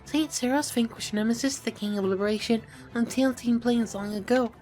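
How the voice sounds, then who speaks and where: A spirited, compassionate young female fighter , acted by a woman, speaks in an earnest, informal way. It is fantasy game acting in a fairly high voice with soft music behind. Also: fairly quick, natural voice. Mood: thoughtful.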